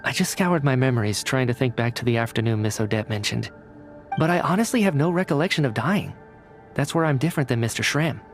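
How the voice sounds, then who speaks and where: A man's voice: mid-range voice, lively intonation, fast, soft background music, lightly acted. An actor playing an earnest, courteous young man in a video game, articulate and reasoning things out aloud. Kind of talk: video game cutscene acting.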